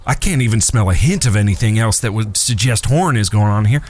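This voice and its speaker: An actor playing a wary, brooding older cowboy brother, cautious and understated, in a Western audio drama. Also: male voice, fairly low pitch, lively intonation, fast, darkish tone. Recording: noisy ambient background.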